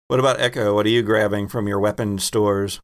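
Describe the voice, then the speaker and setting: A man's voice: on the low side, steady tone, brisk. A man narrating and refereeing a tabletop role-playing game as game master.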